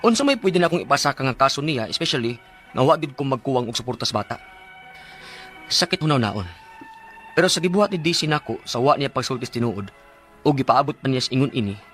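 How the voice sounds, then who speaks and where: A male actor as a hurt, devoted Cebuano father in a radio drama. His pleading, earnest delivery swings widely in pitch, at a middling register. Music plays underneath. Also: lively intonation, fast, long pauses, a bit bright, natural voice. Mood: hurt, resolute. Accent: Cebuano Filipino. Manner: emotional, pleading. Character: earnest, wounded family man and devoted father. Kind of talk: radio drama acting and first-person narration.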